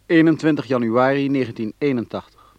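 An actor playing an impersonal announcer of dates in a radio drama, on a muffled recording. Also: male voice, medium pitch, brisk, a little mellow, no room sound, natural voice. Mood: neutral.

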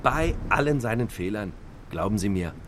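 An actor playing a matter-of-fact, mock-regretful travel presenter in a satirical stage revue. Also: male voice, lowish voice, animated, moderate pace, close to an everyday voice. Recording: faint background noise.

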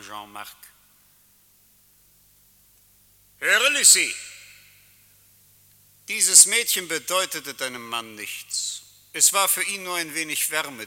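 Radio play role: commanding, incantatory Death, slow and forceful in a reverberant hall. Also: male voice, medium pitch, heavily acted. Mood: solemn coaxing. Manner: declamatory, incantatory. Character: solemn, commanding male figure of death, coaxing and theatrical. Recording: long reverberant hall effect.

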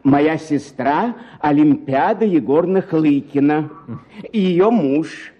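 An actor playing an easygoing, placating gentleman in a classic radio drama. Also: a little high, takes it slowly. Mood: polite. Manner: mild, hesitant, placating. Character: easygoing middle-aged gentleman, the brother.

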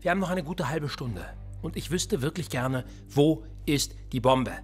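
An actor playing a cool, sardonic male police interrogator in a radio play, calmly probing and sarcastic. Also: fairly high pitch, normal speed, breathy edge, faint music behind.

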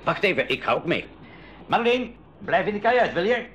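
An actor playing a cautious, protective professor who takes charge in a 1980s school radio adventure. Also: male voice, high-pitched, sing-song, normal speed, a bit bright, slight background noise. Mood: urgent, commanding. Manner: earnest, protective, authoritative. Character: cautious, commanding adult male professor leading youngsters. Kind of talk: 1980s Dutch-language school radio play acting. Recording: dull lo-fi 1980s radio recording.

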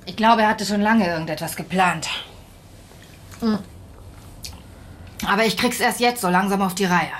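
A woman's voice: mid-range voice, moderate pace, pauses often, fairly bright tone, a little hiss or hum, natural voice. An actress playing a weary, blunt woman talking to police in a naturalistic radio drama. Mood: weary, bewildered.

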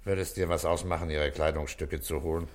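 An actor playing a calm, methodical police commissioner in a German radio play, asking terse questions and giving quiet orders. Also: male voice, a bass voice, brisk. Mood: pondering, methodical. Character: calm, methodical male police commissioner leading a murder case.

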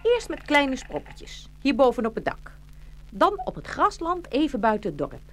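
A woman playing a motherly stork in a children's fairy-tale radio play, brisk and animated, scolding and coaxing by turns. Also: high-pitched, exaggerated voice. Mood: coaxing, playful.

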